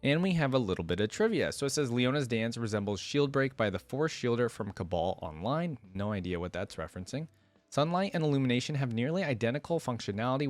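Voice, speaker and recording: A man's voice: medium pitch, dry recording. A man hosting a reaction video, chatting informally and enthusiastically as a fan and reading text aloud. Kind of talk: casual reaction commentary mixed with reading wiki text aloud.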